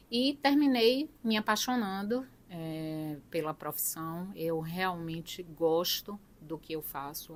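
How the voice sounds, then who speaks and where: A middle-aged woman with a Bahian Brazilian accent, telling her own story conversationally as a guest, with halting, uneven emphasis. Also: mid-range voice. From Salvador, Bahia, Brazil. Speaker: police chief, interview guest. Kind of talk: conversational personal account.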